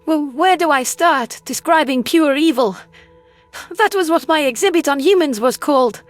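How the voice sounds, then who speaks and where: Woman doing a scared bitter alien lady, really high voice, stammery and intense, sci-fi audio drama with music under it. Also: expressive, normal speed. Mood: bitter, horrified. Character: frightened, bitter female alien museum historian, traumatized captive. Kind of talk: dramatized audiobook character acting.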